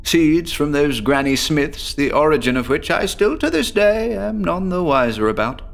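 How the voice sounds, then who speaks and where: A haunted, stammering mental patient, his voice gravelly and lively, leaping up high when panic takes hold. He pours out his confession to the doctor in an audio drama. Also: moderate pace. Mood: frantic. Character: haunted male patient recounting horror, frantic.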